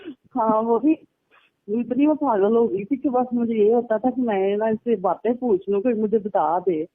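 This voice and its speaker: A woman's voice: fairly high pitch, moderate pace, no room sound. A woman chatting casually and recounting personal stories over a band-limited phone call. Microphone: telephone line.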